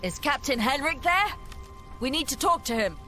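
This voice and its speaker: A wry female adventurer in a fantasy video game. Her intonation is very lively and tinged with sarcasm. Music plays clearly behind her. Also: sits high, normal speed, full character voice. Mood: wary.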